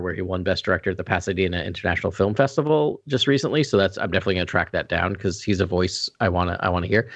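A man's voice: fairly low pitch, no echo, highs cut off. A man on a podcast panel in a casual review discussion, earnest and rambling as he thinks aloud.

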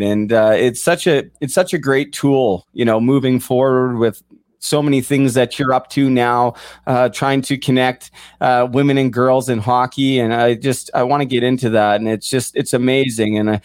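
A man's voice: medium pitch, dry recording. Rambling male host with a dry sound. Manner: rambling, repeats words while framing questions. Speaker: podcast host. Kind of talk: casual interview chat.

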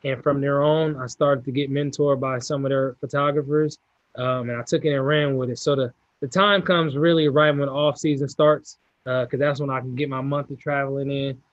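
A young man from California, a football player answering interview questions in a relaxed, laid-back way. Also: medium pitch, moderate pace, no echo, dull audio. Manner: relaxed, laid-back, repeats short agreements.

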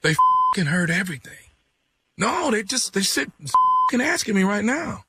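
A man's voice: sits high, big pitch swings, unhurried, very noisy. A man playing an apologetic office manager who has to break bad news, hesitant and stammering. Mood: flustered. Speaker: comedian doing prank phone calls.

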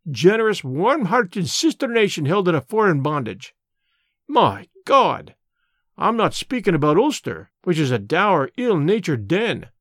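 A man's voice: high voice, no echo, studio quality, exaggerated voice. A man reading an audiobook, voicing an older Scottish labour radical, blunt and opinionated, in broad dialect with a Scottish accent. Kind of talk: audiobook character voice.

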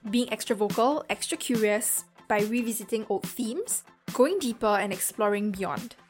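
A woman hosting and producing a podcast, reading a measured, earnest intro and then chatting with her guest. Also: a little high, soft background music. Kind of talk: scripted podcast intro then conversational interview.

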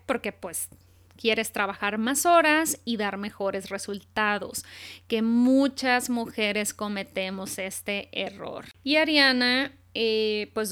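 A woman talks straight to her listeners in a relaxed, animated monologue. Her voice sits a little high and sounds smooth and clean. She fills her speech with little fillers and quick self-corrections. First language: Spanish. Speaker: podcast host. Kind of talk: casual monologue.

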